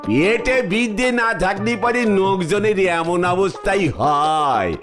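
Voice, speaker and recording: High slow rustic Bengali shopkeeper. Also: male voice, lively intonation, over music. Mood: exasperated, scoffing. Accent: rural Bengali dialect. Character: exasperated rustic shopkeeper, plain-spoken and scoffing.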